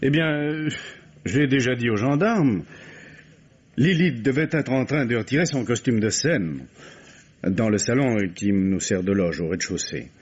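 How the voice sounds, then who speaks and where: An actor playing a middle-aged count and bereaved husband, hesitant, formal and courteous, in an old radio mystery. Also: male voice, medium pitch, animated, measured pace, slightly rough. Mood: defensive, insistent. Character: count, grieving husband, writer, polite suspect being questioned. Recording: dull vintage radio drama recording.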